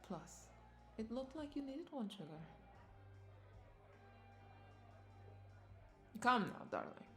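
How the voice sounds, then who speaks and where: A woman's voice: a little high, very animated, normal speed, music in the background. A woman playing a flirty, doting vampire bartender in a roleplay audio drama, coaxing and affectionate as she speaks to the listener. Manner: coaxing, affectionate, lingering over words with soft chuckles.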